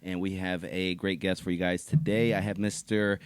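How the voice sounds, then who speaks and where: An excitable male podcast host with a slightly low voice who talks in a loose, friendly way. The sound is close and dry, with no room around it. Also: moderate pace, clear, resonant tone, no room sound. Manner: excitable, laughs often, says dude and man. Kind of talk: casual podcast chat.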